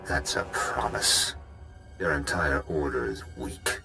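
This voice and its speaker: An actor playing a bitter, vengeful fallen Jedi master, speaking with cold menace in a game cutscene. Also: male voice, very deep voice, takes it slowly, gravelly, bright, forceful tone, exaggerated voice. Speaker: villain in a video game cutscene. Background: game score music.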